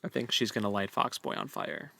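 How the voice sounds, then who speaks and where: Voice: mid-range voice, fairly quick, vocal fry, sharp tone, dry recording. A playful, teasing co-host of a book podcast, chatting casually. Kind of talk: casual book-club chat.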